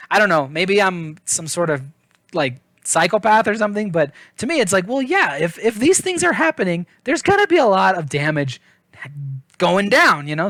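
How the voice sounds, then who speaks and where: A man's voice: on the high side, bright, forceful tone, no echo, studio quality. A man giving a casual book review in an informal, chatty, self-deprecating way.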